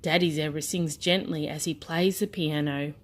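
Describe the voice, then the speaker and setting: A woman's voice: deep voice, steady tone, normal speed. Woman reading children's bedtime stories. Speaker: children's story reader. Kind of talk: scripted bedtime storybook narration.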